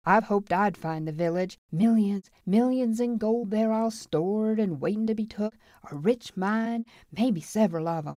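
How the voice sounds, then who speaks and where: A woman's voice: medium pitch, unhurried, few pauses, dark tone, no echo, pitched above the performer's own voice, close to an everyday voice. An eager old frontier man voiced by a woman narrator in a western audiobook. He is hungry for gold and talks in a plain folksy way. The recording is dry. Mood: wistful.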